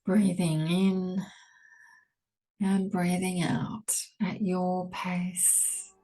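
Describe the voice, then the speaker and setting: A woman leading a guided meditation, speaking gently and in a measured way with long pauses between soothing instructions. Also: on the low side, little pitch movement. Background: soft ambient meditation music.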